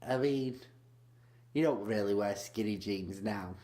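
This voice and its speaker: A young man co-hosting a comedy podcast, sweary and deadpan, teasing his friend. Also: on the low side, a little slow. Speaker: podcast co-host. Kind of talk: casual comedy podcast chat.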